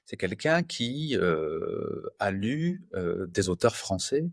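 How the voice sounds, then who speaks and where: A man in his fifties from France, a translator answering interview questions in a patient, measured way with many pauses. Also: low voice, sing-song, no room sound, clean studio sound. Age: 50s. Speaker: guest, translator.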